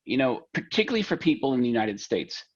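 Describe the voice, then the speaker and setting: A man appearing as a guest lawyer on a podcast, explaining things in a relaxed, conversational way with fillers. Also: mid-range voice, fast talker, slightly rough, highs cut off. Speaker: guest attorney. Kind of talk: interview answer.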